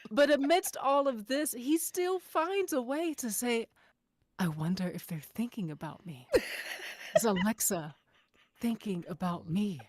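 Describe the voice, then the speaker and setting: Slow-paced woman chatting on a podcast. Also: a little high, animated, a little mellow. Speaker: podcast after-show co-host. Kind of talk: casual fan discussion.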